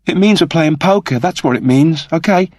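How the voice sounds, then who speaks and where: A man's voice: a little high, moderate pace, darkish tone, no room sound, clean studio sound, pitched above the performer's own voice, close to an everyday voice. A man voicing a confident young woman who is breezy, playful and teasing. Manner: casual, playful.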